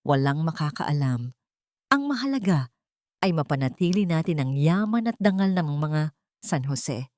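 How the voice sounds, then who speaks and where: A woman's voice: deep voice, sing-song, normal speed, darkish tone, no echo, clean studio sound. Woman reading as a cold, haughty old matriarch. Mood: cold, scheming. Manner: cold, unhesitating, imperious. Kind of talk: audiobook character dialogue.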